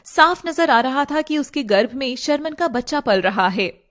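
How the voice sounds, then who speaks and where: A woman's voice: sits high, lively intonation, normal speed, a bit bright. A woman narrating a scripted story in a dramatic storytelling style.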